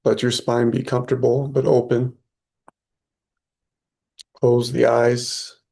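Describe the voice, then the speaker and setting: Slow, gravelly male meditation guide. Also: mid-range voice, completely flat delivery. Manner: slow, soft, long pauses.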